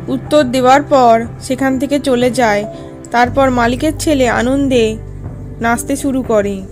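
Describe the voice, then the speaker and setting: Woman telling a cartoon fairy tale, high voice, soft music behind. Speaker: narrator.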